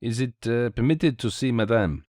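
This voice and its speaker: A man's voice: mid-range voice, moderate pace, darkish tone, dry recording, studio quality, natural voice. A man voicing a small, cheerful, self-assured detective with a courteous, precise, theatrical manner, in a storybook reading. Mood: regretful, polite.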